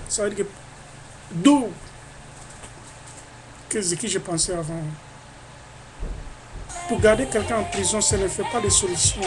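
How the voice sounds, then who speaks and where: A man's voice: sits high, rough recording. A man from Africa speaking into his webcam in an emphatic, indignant monologue, often repeating his phrases. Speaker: vlogger.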